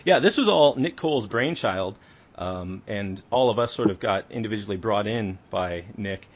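A man's voice: lowish voice, lively intonation, normal speed. A man hosting a casual podcast interview, asking friendly, upbeat questions with some fillers. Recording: dull lo-fi remote audio.

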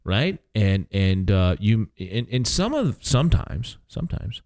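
A man's voice: on the low side, very animated, a little slow, darkish tone. A man co-hosting a radio show in a conversational, part-scripted style, with a jokey, emphatic manner.

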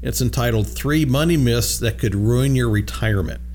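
A man hosting a talk radio show in a studio, easygoing and conversational, stumbling over a word now and then. His voice sits at a middling pitch over a faint hum. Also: normal speed, a little mellow. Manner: easygoing, frequent fillers and restarts. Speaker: radio show host, financial advisor. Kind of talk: casual radio chat.

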